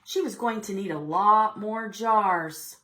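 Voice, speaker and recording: Female storytime reader, medium pitch, expressive delivery for preschoolers with chatty asides and questions. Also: slow, slight background noise. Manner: expressive, asking the children questions. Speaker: children's librarian leading preschool storytime. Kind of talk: read-aloud storytelling with asides to children.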